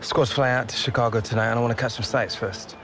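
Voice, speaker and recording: An actor playing a guarded, cocky young footballer in a video game cutscene. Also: male voice, mid-range voice, steady tone, fast talker, background noise, lightly acted. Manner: curt, guarded. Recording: score music underneath.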